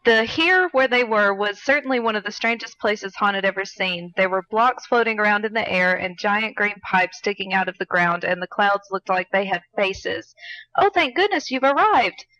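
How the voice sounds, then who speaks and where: Bright-voiced woman reading fan fiction. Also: mid-range voice, steady tone, normal speed, few pauses, dull audio. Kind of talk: story narration and casual banter.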